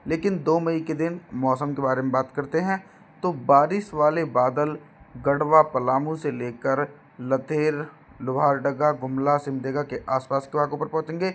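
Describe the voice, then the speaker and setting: A hoarse man presenting a regional weather forecast, steadily listing place names and addressing viewers as friends. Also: on the high side, fairly bright tone.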